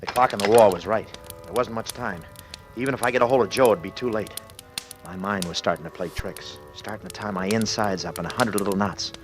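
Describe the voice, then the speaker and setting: A man's voice: fairly low pitch, brisk, soft background music, close to an everyday voice. An actor playing a desperate, panicked businessman who narrates his ordeal in clipped, urgent sentences, in 1940s radio thriller style. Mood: anxious dread. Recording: noisy old-time radio recording.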